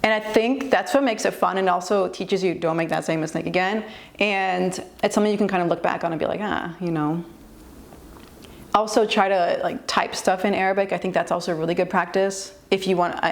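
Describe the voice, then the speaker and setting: A young woman talks straight to camera in a loose, chatty way, full of fillers and quick laughs. Her voice sits a little low and has a gravelly fry running through it. She is a native English speaker sharing advice as a tutor. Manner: chatty, candid, laughs easily, many fillers. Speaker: vlogger and language tutor. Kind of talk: casual advice vlog.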